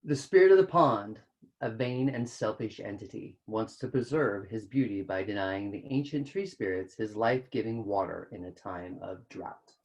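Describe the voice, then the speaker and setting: A woman's voice: rumbling low, moderate pace, darkish tone, rough recording. A woman co-hosting a live book reading with silly, playful banter and sudden exclamations. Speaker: co-host of a live storybook read-along. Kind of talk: casual joking livestream chat.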